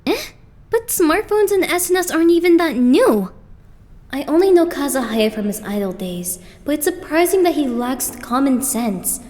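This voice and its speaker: A woman acting an excitable, cheeky teenage boy idol in an anime-style dub, dramatic and exclamatory. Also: sits high, moderate pace. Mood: scolding, puzzled.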